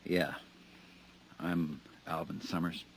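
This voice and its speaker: An actor playing a nervous, hunted male embezzler in a 1950s radio drama, edgy and halting. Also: rumbling low, fairly even intonation, slightly rough, exaggerated voice, poor audio. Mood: guarded.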